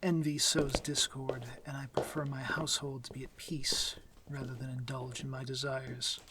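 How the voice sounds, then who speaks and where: A man playing a soft-spoken, commanding vampire lord. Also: medium pitch, little pitch movement, very noisy, lightly acted. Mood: measured, reserved. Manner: formal, measured, softly breathy, often near a whisper. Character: courteous, commanding male vampire lord of a manor. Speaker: audio roleplay voice actor. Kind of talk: slow, intimate ASMR fantasy roleplay.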